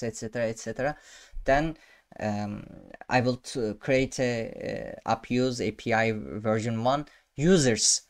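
A young man whose first language is Turkish walks through code in a casual, unhurried way. He pauses often, restarts sentences and fills gaps with "uh" while chuckling at his own slips. His voice sits at an ordinary middle pitch. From Turkey. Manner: halting, many fillers and restarts, self-amused. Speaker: programming tutor. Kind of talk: casual screen-share coding tutorial. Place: small room indoors.

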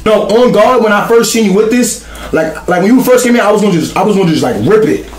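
A high-voiced man riffs casually on a livestream, talking very quickly. He teases in heavy slang and hammers the same phrase again and again. The room around him echoes. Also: lively intonation. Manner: slangy, teasing, repeats phrases insistently. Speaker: guest on a livestream. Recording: roomy, echoey sound.

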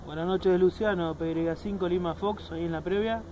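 A man's voice: steady tone, moderate pace. An Argentine man, around his thirties, talking casually as an amateur radio operator and reading out call signs. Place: outdoors at night on sandy open ground. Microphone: camera a few steps away. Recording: noisy outdoor recording with vehicle-like rumble.

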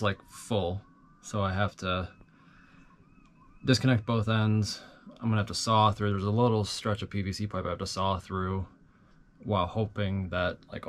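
A man's voice: sits low, a little hiss or hum. A man in his forties in a small wooden boat cabin, explaining a plumbing repair to a handheld camera in a matter-of-fact, tired way. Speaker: sailing vlogger. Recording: loud music under the voice.

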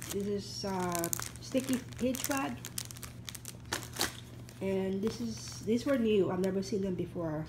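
A woman's voice: mid-range voice, noisy background. A woman in her thirties with a congested, stuffed-up nose, chatting casually and rambling with many ums while showing items at home. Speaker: vlogger. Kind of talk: casual haul video. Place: home, at a table.

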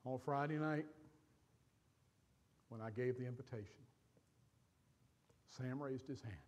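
Older pastor telling a story from the pulpit. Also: male voice, mid-range voice, measured pace, darkish tone. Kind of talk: sermon storytelling. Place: large church stage.

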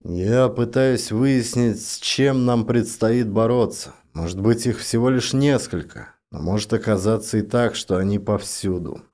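A man playing a grim, cautious scientist on a space expedition, explaining seriously and in a measured way for an audiobook. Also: lowish voice, mellow. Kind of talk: audiobook drama character voice.

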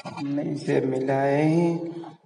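Slow-talking man narrating home cooking. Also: fairly high pitch, steady tone, vocal fry, dark tone, big-room sound, a little hiss or hum. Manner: slow, repetitive, keeps urging viewers to subscribe. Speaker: cooking vlogger. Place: home kitchen at the stove.

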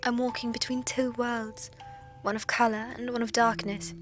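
A woman's voice: on the high side, level tone, normal speed, over music, lightly acted. A woman playing a lonely, forgetful undead woman recording hesitant, intense logs in an audio drama. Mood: weary. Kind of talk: audio drama log monologue.

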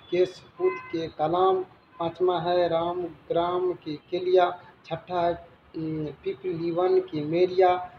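High-pitched man teaching, roomy dull recording. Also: a little hiss or hum. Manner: halting read-aloud with stumbles and repetitions. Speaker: teacher explaining exam questions. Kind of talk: reading quiz questions and answers aloud as a lesson.

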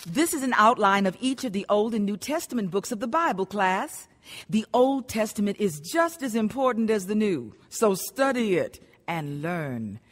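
An actress plays an authoritative woman who teaches the Bible with solemn devotion. Her medium-pitched voice is instructive, in radio drama style, and carries a long reverb. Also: lively intonation, moderate pace, close to an everyday voice.

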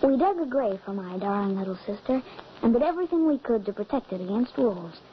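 A woman's voice: mid-range voice, lively intonation, a little fast, lightly acted. A woman playing a frightened, grieving young farm boy with trembling emotion in a 1940s radio drama. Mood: grieving, bitter. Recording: muffled, noisy 1940s radio recording.

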